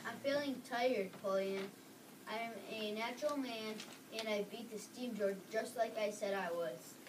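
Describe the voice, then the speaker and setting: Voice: medium pitch, normal speed, natural voice. A child playing a proud, determined steel-driving man in a school reader's theater, reading aloud. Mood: tired. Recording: distant microphone, loud background noise.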